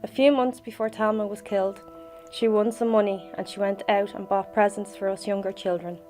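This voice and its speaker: A woman in her fifties with a Dublin accent gives a personal memorial tribute. Her pitch is average and her delivery is plain-spoken and reminiscing. Music plays behind her voice. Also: flat delivery, brisk, mellow. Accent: Dublin Irish. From Dublin, Ireland. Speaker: bereaved sister giving a tribute.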